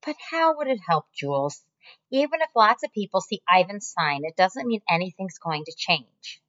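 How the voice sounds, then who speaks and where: A woman voicing a stubborn, reluctant middle-aged father with a flat manner, on a muffled lo-fi recording. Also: medium pitch, moderate pace.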